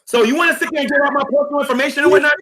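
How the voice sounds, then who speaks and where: A hoarse man in a heated livestream argument, insistently repeating the other person's name as he pleads and accuses. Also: very high voice, rapid-fire, sharp tone. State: hoarse, losing his voice. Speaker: YouTuber.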